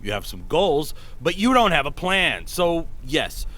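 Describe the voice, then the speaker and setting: A man's voice: on the high side, very animated, measured pace, sharp tone, heavily acted. A man playing a frank, concerned and dutiful starship captain in a science fiction drama, blunt in his talk. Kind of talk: acted dialogue.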